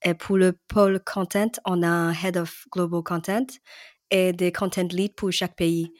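A woman from Hong Kong with a fairly low voice answers podcast interview questions in a measured way, pausing often on fillers. The recording is dry and close, with no room sound. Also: level tone, a little mellow, no room sound. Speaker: guest, brand and content director.

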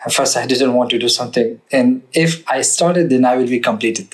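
A man's voice: medium pitch, brisk. Young afghan guy, non-native english, stops and restarts a lot, chatty guest. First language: not English. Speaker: guest, barber. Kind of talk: casual interview.

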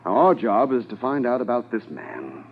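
An actor playing a commanding Mountie officer in a 1950s radio drama, speaking in a measured, authoritative way. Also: male voice, medium pitch, very animated, slight background noise, natural voice. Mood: brisk, businesslike. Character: commanding male Mountie detachment officer narrating a case. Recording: tinny 1950s radio transcription.

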